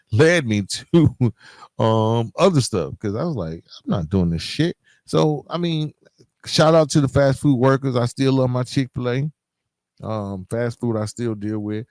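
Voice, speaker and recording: A man's voice: mid-range voice, darkish tone, no echo. A middle-aged man hosting a podcast, talking informally and directly to his listeners in a conversational solo monologue. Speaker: podcast host.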